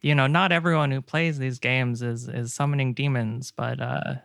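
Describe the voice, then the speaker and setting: A man chatting casually as a podcast guest, telling stories in a halting, hesitant way. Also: mid-range voice, steady tone, gravelly, fairly bright tone, dry recording, studio quality.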